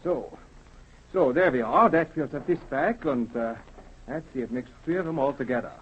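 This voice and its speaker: An actor playing a kindly, nosy small-town grocer in a 1930s radio drama, talkative, folksy and hesitant. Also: male voice, mid-range voice, moderate pace, breathy edge, natural voice. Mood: fussy, obliging. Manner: hesitant, folksy, repeats himself. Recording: dull, muffled 1930s radio recording.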